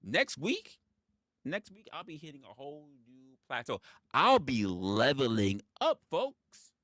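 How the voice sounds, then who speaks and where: A man's voice: medium pitch, wildly swooping pitch, measured pace, a bit bright, no room sound, clean studio sound. A man in his forties hosting a casual livestream monologue, emphatic and profane, often repeating his phrases for effect. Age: 40s. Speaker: livestream host.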